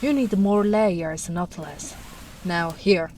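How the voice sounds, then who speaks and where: A big, strong but tender ogress, acted by a woman, speaks slowly in a soft, lowish voice. She is caring and lightly teasing, in an intimate ASMR roleplay. There is clear ambient noise behind her. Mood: caring, musing. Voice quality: soft-spoken. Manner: soothing, unhurried, gently teasing. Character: gentle big strong female ogre, caring, teasing rescuer. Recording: noisy storm ambience behind voice.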